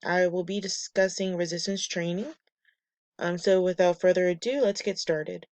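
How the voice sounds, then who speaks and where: A woman presenting a class lesson from slides, a bit nasal and raspy from a cold, reading steps and lists in a measured way. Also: on the low side, fairly even intonation, darkish tone, no echo. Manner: measured, reads steps and muscle lists methodically. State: getting over a cold, slightly nasal and raspy. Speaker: student presenter.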